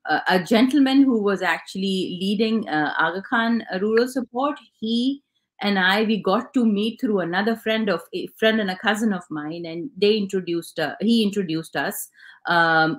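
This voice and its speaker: A woman with a Pakistani accent, a fashion designer speaking as an interview guest, hesitant with many fillers and restarts. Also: mid-range voice. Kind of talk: casual interview talk.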